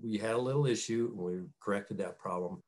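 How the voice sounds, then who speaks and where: Lowish-voiced middle-aged man, plain-spoken. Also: fairly quick, darkish tone. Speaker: farmer, guest. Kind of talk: casual interview talk. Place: small wood-panelled room at home. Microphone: computer mic on a video call.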